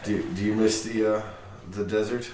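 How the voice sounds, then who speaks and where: Hesitant low-voiced man at a game table. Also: level tone, moderate pace. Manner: hesitant, full of uhs and restarts. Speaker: player. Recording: echoey room, far from the mic, noisy.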